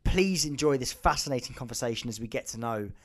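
A man's voice: mid-range voice, animated, quick pace, a bit bright. A man hosting a podcast, reading a scripted intro, then chatting casually with a guest, laughing and restarting his sentences often. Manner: upbeat, laughing, many fillers and restarts in conversation. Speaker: podcast host.

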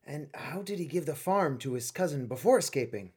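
A man acting in a radio play as an authoritative, conflicted middle-aged colonel and father, husky and emphatic. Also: fairly high pitch, animated, fairly quick. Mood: tense, weary.

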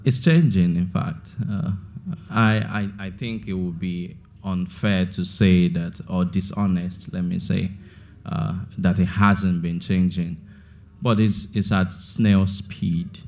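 A man's voice: deep voice, darkish tone. A young man in his twenties from Nigeria, an author answering questions in an onstage interview, thoughtful and often repeating words as he finds his point. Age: 20s.

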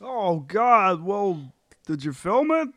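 A man acts out a defensive, whiny husband in a sitcom table read. He speaks slowly, in a dry recording with little room sound. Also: high voice, big pitch swings, fairly bright tone, dry recording, full character voice. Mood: curious, plaintive.

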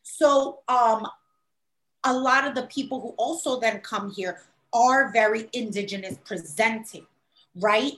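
A woman with a New York accent that leans Puerto Rican chats in an animated, storytelling way, slipping into quoted voices. Her voice sits a little high. The room around her rings with echo. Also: measured pace. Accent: New York Puerto Rican-influenced. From New York City. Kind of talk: casual conversation. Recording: echoey room.

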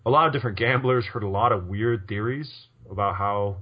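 A man's voice: fairly low pitch, a little fast, husky, lo-fi sound. A man co-hosting a poker podcast in casual chat, rambling with lots of restarts and fillers. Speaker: podcast co-host.

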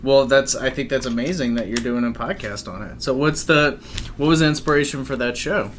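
A male interviewer with a mid-range voice asks relaxed, curious questions and answers with easy laughter and short acknowledgments. Faint background noise sits behind him. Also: mid-range voice, a little fast. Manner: curious, laughs along easily.

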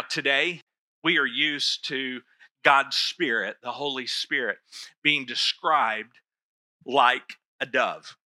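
A man's voice: a little high, sharp tone, no room sound. A middle-aged man preaching a sermon to his church, talking in a loose, conversational way with self-deprecating asides. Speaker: pastor.

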